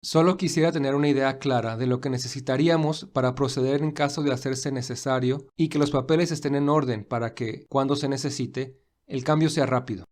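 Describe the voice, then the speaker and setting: A man playing a thoughtful, devoted young gentleman in an audio drama, earnest and reflective. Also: mid-range voice, steady tone, fairly quick, few pauses, natural voice. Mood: businesslike, earnest.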